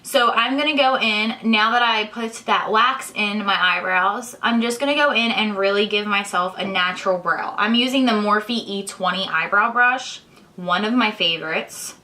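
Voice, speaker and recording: A young woman, hoarse and congested, chatting casually while she explains each step of her makeup tutorial. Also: medium pitch, normal speed, bright, forceful tone. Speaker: beauty vlogger. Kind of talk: chatty get-ready-with-me tutorial.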